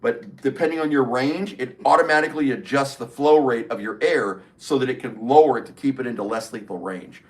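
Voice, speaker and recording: A man's voice: medium pitch, brisk, few pauses. Chatty middle-aged man on a livestream. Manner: chatty, answers chat comments by name. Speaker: livestream host. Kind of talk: casual live stream chat.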